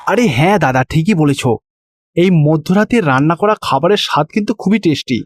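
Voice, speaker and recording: Man as an animated, high-pitched young Bengali son. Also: fairly quick, natural voice. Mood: cheerful, surprised. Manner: chatty, animated. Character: eager young Bengali son, good-natured. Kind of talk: moral-story cartoon voice acting.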